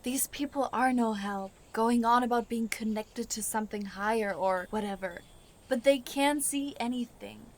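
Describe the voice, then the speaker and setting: Woman playing a blunt, exasperated high-voiced young woman. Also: moderate pace, natural voice. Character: blunt, exasperated young girl-group member. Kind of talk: audio drama acting.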